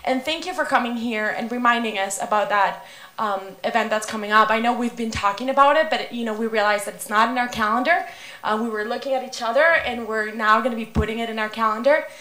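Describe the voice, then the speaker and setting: Councilwoman, slightly high voice, friendly and thankful, roomy chamber. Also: pushed and bright. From Venezuela. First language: Spanish. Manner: friendly, thankful, laughs easily, halting with ums. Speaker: city councilmember. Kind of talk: council meeting remarks.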